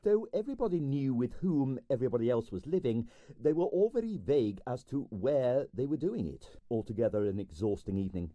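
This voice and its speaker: Male audiobook narrator: scripted comic fiction, wry storyteller, warm soft tone, very animated intonation. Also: mid-range voice, fairly quick, no echo, lo-fi sound.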